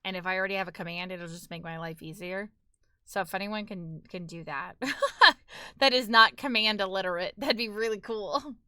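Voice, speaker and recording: A woman's voice: medium pitch, normal speed, bright, forceful tone, no room sound, studio quality. A young woman with a New York accent, chatting casually with her live-stream audience while gaming, talkative and laughing often.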